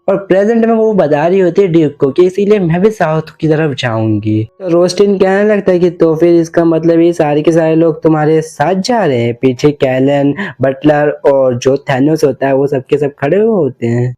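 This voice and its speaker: A man's voice: a little high, mellow. A man narrating a comic story recap informally, mixing in English words and voicing the dialogue, on slightly dull audio.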